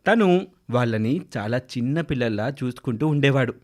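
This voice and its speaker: A man's voice: medium pitch, expressive, normal speed. A man narrating a scripted moral story for children as a storyteller.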